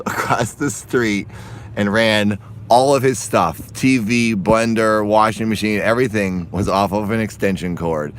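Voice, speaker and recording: A man from Massachusetts, hoarse and raspy, chatting casually on a phone live stream outdoors while walking and driving. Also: on the low side, a bit bright. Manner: rambling, chatty, laughs often.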